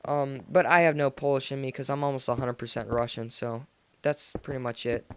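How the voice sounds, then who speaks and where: A teenage American guy with a clean, smooth voice at an average male pitch. He talks casually over gameplay, wandering along informally with plenty of slang. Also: fairly even intonation, a little fast, fairly bright tone. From United States. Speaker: gaming video host. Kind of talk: casual game commentary. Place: voice-over recorded indoors after gameplay.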